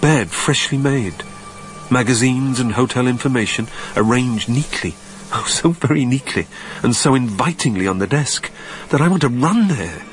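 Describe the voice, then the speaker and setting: An actor playing a haunted, wistful man in an intimate radio drama, speaking in a hushed, deliberate, poetic way. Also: mid-range voice, animated, natural voice, low-quality recording. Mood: longing, uneasy. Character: haunted, wistful man facing his own death. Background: music.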